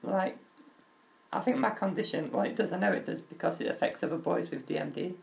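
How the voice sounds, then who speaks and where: Crackly, high-voiced young man. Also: creaky. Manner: open and earnest, halting restarts, nervous laughs. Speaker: vlogger. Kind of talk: candid personal talk.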